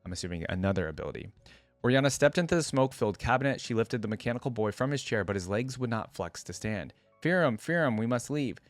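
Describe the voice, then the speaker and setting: A man's voice: lowish voice, lively intonation, fast, no echo, faint music behind. A man reading a story aloud expressively as a reaction video creator, breaking off for casual asides.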